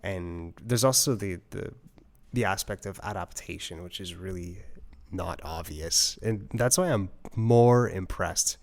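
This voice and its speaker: A man's voice: sits low, a little slow, creaky. A man hosting a podcast, chatting casually and thinking aloud in a conversational way. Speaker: podcast host and interviewer. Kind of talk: casual review chat.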